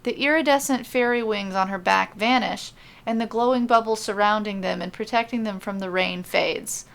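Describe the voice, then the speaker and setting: A woman's voice: mid-range voice, normal speed, gravelly, a bit bright. A woman hosting a storytelling podcast, speaking in a self-deprecating, dryly humorous way. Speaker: podcast host and writer. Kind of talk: casual rambling podcast intro, then audiobook-style narration.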